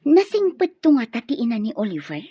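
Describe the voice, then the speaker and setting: An actress playing a cunning young friend who goads and schemes excitably in a radio drama. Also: female voice, on the high side, brisk, breathy edge, no echo, highs cut off, heavily acted. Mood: excited, goading. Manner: goading, excitable.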